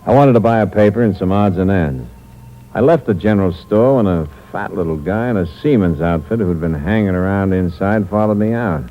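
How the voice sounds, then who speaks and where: An actor playing a cold, hard-boiled impostor who narrates the story in a terse, clipped manner, in a 1950s radio drama. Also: male voice, deep voice, brisk, lightly acted. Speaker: narrator and lead. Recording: muffled old radio recording with hiss.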